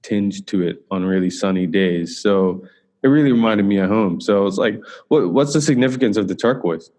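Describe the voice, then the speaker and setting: A man's voice: fairly low pitch, moderate pace, a little mellow, in a large hall. A man from Bermuda hosting a podcast, asking questions in a casual interview style. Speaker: podcast host.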